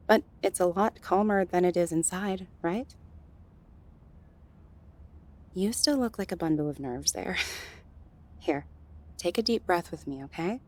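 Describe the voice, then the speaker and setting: A woman's voice: fairly low pitch, measured pace. A woman playing a friendly, flirtatious gallery worker in an intimate audio roleplay, soothing and teasing as she talks straight to the listener. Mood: soothing, reassuring.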